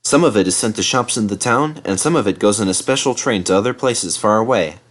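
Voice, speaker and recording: A man narrating a children's storybook in a measured, dramatised storytelling manner. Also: on the low side. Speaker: storybook reader.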